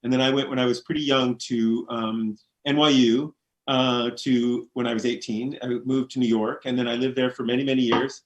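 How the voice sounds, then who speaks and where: A man who grew up in Oregon, a bookstore co-owner, answering interview questions thoughtfully with many pauses. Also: medium pitch, flat delivery, poor audio. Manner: thoughtful, hesitant, many ums. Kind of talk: casual interview chat.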